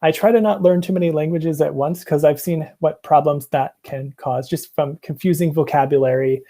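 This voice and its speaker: Mellow-voiced young American man on a call. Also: a little high, steady tone, moderate pace. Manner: rambling, many ums and restarts. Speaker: presenter. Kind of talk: casual video call chat. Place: small room at home. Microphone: earbuds on a video call.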